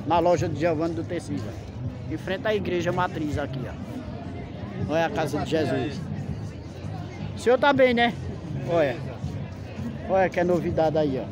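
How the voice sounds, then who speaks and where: A friendly man with a Northeastern Brazilian accent and a fairly high voice walks through an outdoor market, greeting vendors with blessings. His talk sits inside loud crowd chatter and heavy music from the stalls. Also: highs cut off. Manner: friendly, points things out with repeated "olha aí, ó", religious greetings. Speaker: vlogger filming a street market. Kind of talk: casual walking vlog, greeting vendors. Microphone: handheld phone camera.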